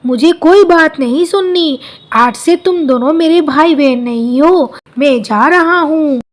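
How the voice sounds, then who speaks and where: A woman's voice: sits high, unhurried. A woman voicing a naive, aggrieved young male ostrich in a cartoon story. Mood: angry. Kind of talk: Hindi cartoon story dialogue.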